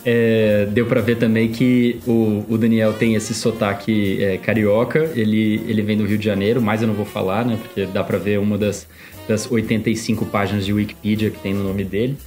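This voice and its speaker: Podcast co-host: São Paulo-accented man, lower voice, chatty and halting, soft music under. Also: level tone. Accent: São Paulo Portuguese. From Brazil. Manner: hesitant, self-deprecating, many restarts and fillers. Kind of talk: casual chat.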